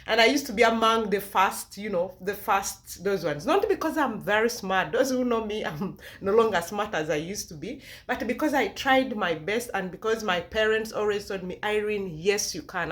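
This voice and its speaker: A woman's voice: medium pitch, moderate pace, hardly any pauses, fairly bright tone. A woman vlogger from Uganda with a Ugandan accent, sharing a personal testimony with viewers in an emphatic way, repeating phrases to encourage.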